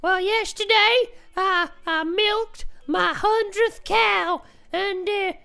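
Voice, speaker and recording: A gushing, excitable farm woman, as a woman puts her on, speaks in a very high voice. She drawls her words slowly and dramatically. She keeps a silly, over-the-top manner throughout. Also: creaky, full character voice. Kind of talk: improvised comedy role-play.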